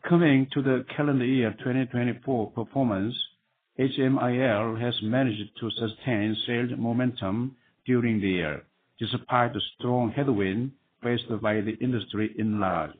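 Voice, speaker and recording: A man speaking as a managing director, giving formal, scripted earnings call remarks in halting phrases. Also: mid-range voice. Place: conference call. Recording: tinny phone line with clear noise.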